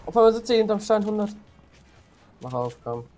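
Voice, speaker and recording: Man with a higher voice making team callouts. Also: very animated, darkish tone, a little hiss or hum. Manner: quick callouts, casual and slangy. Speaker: teammate in a game. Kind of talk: team callouts while gaming.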